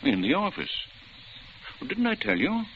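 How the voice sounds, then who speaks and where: A man's voice: high voice, huge pitch swings, normal speed. An actor playing a kindly, fatherly older lawyer with a reassuring manner. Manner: warm, reassuring. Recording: noisy lo-fi old radio drama.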